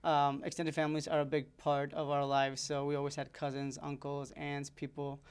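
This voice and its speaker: A man's voice: a little high, monotone, moderate pace, clean tone. A young man from Ecuador, a seminarian guest talking earnestly with many fillers and restarts.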